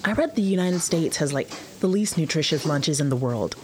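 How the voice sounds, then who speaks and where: A chatty, helpful food server, played by a woman, talks casually in an audio drama. The voice sits a little low, and there is slight noise in the recording. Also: animated, moderate pace. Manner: casual, conversational. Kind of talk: full-cast audio drama acting.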